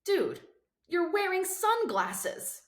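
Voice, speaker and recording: An exasperated teenage boy as a woman reader plays him in a storybook. He scolds bluntly and incredulously, his pitch pushed up, in a roomy recording. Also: big pitch swings, extremely slow, a bit bright, heavily acted. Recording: roomy, echoing sound.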